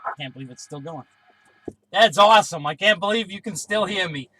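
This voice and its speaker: A man with a high-pitched, bright voice works a CB radio. He talks in casual two-way radio style, checking in as an operator would. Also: a little fast, rough recording. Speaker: CB radio operator testing range from a car.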